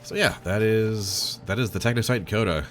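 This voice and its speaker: A man explaining game details to friends in a casual co-op session, halting and full of restarts. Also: on the low side, slight background noise. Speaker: co-op game player. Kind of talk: casual gaming chat. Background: faint game music.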